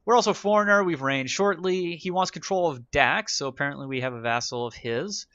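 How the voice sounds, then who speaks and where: A man's voice: on the high side, a little fast, fairly bright tone, no echo, lo-fi sound. A man giving casual, wry commentary while playing a strategy game, thinking aloud as he goes. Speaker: gaming YouTuber. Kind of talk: let's play commentary.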